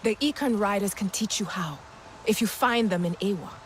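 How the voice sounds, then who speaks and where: A woman's voice: medium pitch, normal speed, a little hiss or hum, natural voice. A woman playing a proud, commanding clan leader of an alien people, speaking formally and ceremonially in a dramatic video game. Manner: formal, oratorical.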